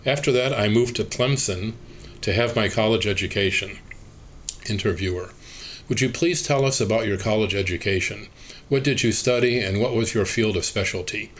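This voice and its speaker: A man with a somewhat low voice reads an interview transcript aloud, speaker labels included. His delivery is measured and even. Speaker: reader of an oral history interview transcript.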